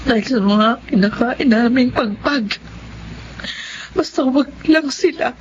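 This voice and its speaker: High-voiced, plaintive Filipino mother played by a woman. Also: unhurried, dull audio, a little hiss or hum, lightly acted. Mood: pained, worried. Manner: pleading, emotional, plaintive. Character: poor, anxious, devoted mother of two children. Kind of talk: melodramatic Filipino audio drama acting.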